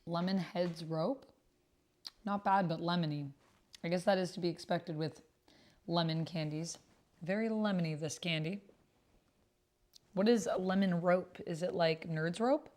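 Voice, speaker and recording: A woman's voice: on the low side, unhurried, pauses often, darkish tone. A woman livestreaming a text roleplay game, chatting casually and thinking aloud as she reads and types. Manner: thinks aloud while reading and typing. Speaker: streamer playing a text-based roleplay game. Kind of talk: casual livestream chat while reading out typed roleplay. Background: computer keyboard typing.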